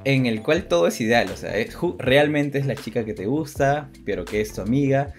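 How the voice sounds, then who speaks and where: A young man chats casually as a podcast co-host, with music behind him. His voice sits at a middling pitch. He rambles hesitantly and circles back over his own phrases. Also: animated, fairly quick. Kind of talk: casual chat. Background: background music.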